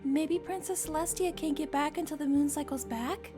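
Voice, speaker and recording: A woman's voice: high voice, fairly even intonation, moderate pace, close to an everyday voice. A woman playing a polite, concerned pony in a cartoon comic dub. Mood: concerned, questioning. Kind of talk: fan comic dub acting. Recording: music behind the voice.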